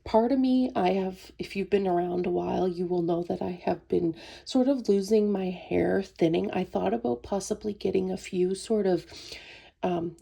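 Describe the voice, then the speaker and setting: A woman's voice: medium pitch, normal speed, creaky, dark tone, husky. A woman with a cold and a sore throat, chatting casually through a budgeting vlog in a friendly, rambling way. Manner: friendly, rambling, frequent ums. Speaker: budgeting vlogger.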